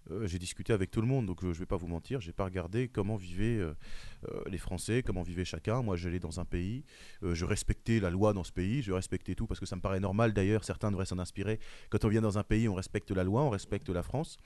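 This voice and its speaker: Insistent young male debater, rapid delivery, dry close sound. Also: low voice, dark tone, dry recording. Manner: insistent, rising when challenged. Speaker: debate guest. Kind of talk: heated debate.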